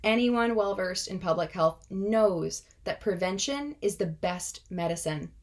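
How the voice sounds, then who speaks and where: A woman in her twenties, a native Russian speaker, delivering a prepared speech in an earnest, measured, impassioned way. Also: medium pitch, animated. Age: 20s.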